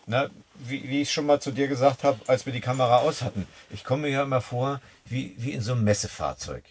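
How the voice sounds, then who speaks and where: A man co-hosting a camping vlog, chatting in a relaxed way from inside a camper van. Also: mid-range voice, husky. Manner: relaxed, talks in drawn-out asides with tag questions. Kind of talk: casual chat.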